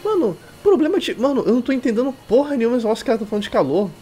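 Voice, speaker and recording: Animated Brazilian man streaming a visual novel. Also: extremely high pitch, rapid-fire. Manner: irreverent, slangy, swearing. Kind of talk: reading game text aloud with casual slangy commentary. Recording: game music behind the voice. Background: game music.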